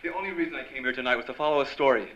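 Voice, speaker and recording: A man's voice: medium pitch, very fast, natural voice. A man playing an earnest, romantic young reporter hero in an old-time radio drama, with a theatrical, emphatic delivery. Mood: determined. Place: stage before a live audience. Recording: dull, roomy live stage recording, loud noise.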